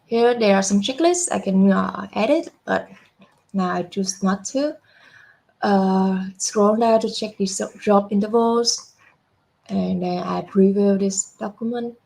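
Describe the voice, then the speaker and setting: Woman, young adult, software demo presenter, creaky voice, slow pace, filler-heavy. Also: mid-range voice, low-quality recording. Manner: unhurried, frequent "uh" fillers and self-corrections. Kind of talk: screen-share software demo walkthrough.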